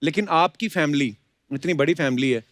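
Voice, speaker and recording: Male talk show host, high voice, bantering and playful interviewer, dry sound. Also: normal speed, a bit bright, dry recording. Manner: teasing, joking. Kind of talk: bantering comedy interview in Hindi mixed with English.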